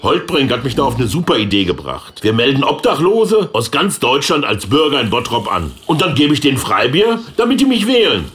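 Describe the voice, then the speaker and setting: A cocky blunt small town politician for a radio comedy, voice swinging up and down. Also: male voice, medium pitch, very animated, a little fast, few pauses, a bit bright, faint music behind. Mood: scheming. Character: brash, scheming male local politician. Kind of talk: satirical radio play acting.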